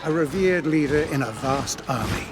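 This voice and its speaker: Over clearly noisy game sound, a male actor plays a stern, noble Roman commander and father of middle age. His mid-pitched voice is grave and measured, with the solemn weight of a dramatic cutscene. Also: expressive, close to an everyday voice. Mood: grave, fatherly.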